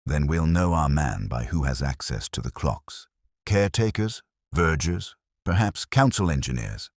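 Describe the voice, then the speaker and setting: Man voicing an anxious, deep-voiced police sergeant. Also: animated, measured pace, dry recording, clean studio sound, heavily acted. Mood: earnest, weary. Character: earnest, anxious male police sergeant, deferential to his superior.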